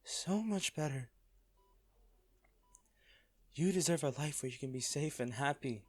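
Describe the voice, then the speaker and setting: A man acting a hardened lover pleading at a comatose partner's bedside in a romantic audio roleplay, halting, intimate and earnest. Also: fairly high pitch, lively intonation, dry recording. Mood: grieving, broken.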